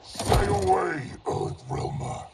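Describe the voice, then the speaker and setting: A man's voice: very noisy, lightly acted. A man playing a proud, pompous, battle-hardened general in a video game. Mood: bitter. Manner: pompous, slow. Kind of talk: video game cutscene acting. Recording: loud music, slightly distant.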